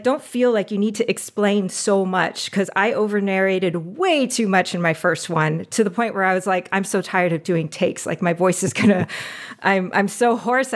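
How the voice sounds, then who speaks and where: A woman guest on a podcast interview, talking in a casual, animated way and laughing often as she gives advice. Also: mid-range voice. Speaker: podcast guest, audio drama producer.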